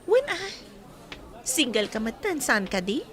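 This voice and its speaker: A woman's voice: a little high, sing-song, measured pace, crowd noise. A woman with a Filipino accent acting a coy woman on a date in a radio drama. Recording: loud music behind the voice.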